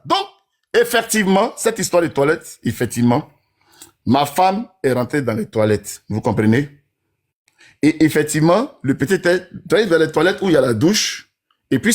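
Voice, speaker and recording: A man in his forties talking in a casual, rambling monologue to his followers, who keeps asking whether they understand. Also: high-pitched. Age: 40s.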